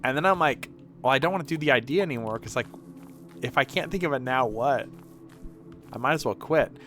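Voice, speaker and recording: A man's voice: medium pitch, fairly quick, a bit bright, soft background music. A man streaming video games, chatting casually in a rambling way with some swearing. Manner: rambling, profane. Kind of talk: casual livestream chat over gameplay.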